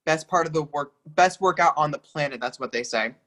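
A man's voice: fairly high pitch, brisk, a bit bright, natural voice. An actor playing a brash, over-familiar man out at a bar in a play reading, chummy, teasing and pushy. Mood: chatty, probing.

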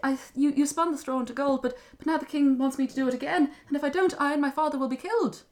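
A woman's voice: high voice, fast talker, darkish tone, voice pushed up, lightly acted. A woman voicing a desperate young miller's daughter in a fairy tale, pleading and stammering. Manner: pleading, stammering, breathless delivery.

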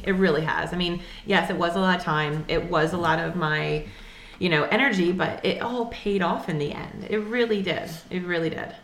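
A woman with a fairly low voice gives upbeat, encouraging advice in a casual panel discussion. Her voice carries an echoey, roomy sound. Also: fairly bright tone.

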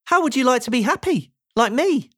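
A woman's voice: high voice, animated, a little fast, fairly bright tone, no room sound, clean studio sound, pitched above the performer's own voice. A woman voicing a cheerful, decisive, rather large round man in a children's storybook. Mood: curious, friendly.

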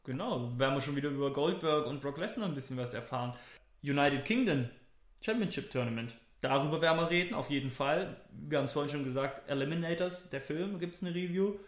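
A man's voice: fairly high pitch, expressive, a little fast, reverberant. A man co-hosting a podcast in casual chat, enthusiastic and rambling with restarts. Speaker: podcast co-host.

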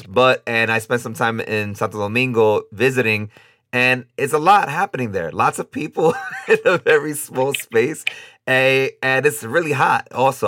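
A man's voice: mid-range voice, fairly bright tone, dry recording, studio quality. A man from Brooklyn hosting a casual podcast interview, upbeat and chatty, laughing often. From Brooklyn, New York. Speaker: podcast host.

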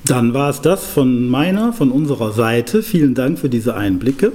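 Relaxed male interviewer, mellow voice. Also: mid-range voice, normal speed, a little hiss or hum. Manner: relaxed, informal. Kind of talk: casual interview questions.

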